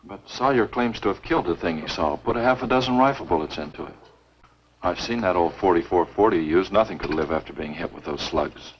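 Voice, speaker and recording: An actor playing a stubborn, blunt state game warden in a 1950s B-movie, speaking in a firm, matter-of-fact way. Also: male voice, low-pitched, animated, a little fast. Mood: determined. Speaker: film actor. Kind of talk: 1950s B-movie screen acting. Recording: muffled, noisy 1950s film soundtrack.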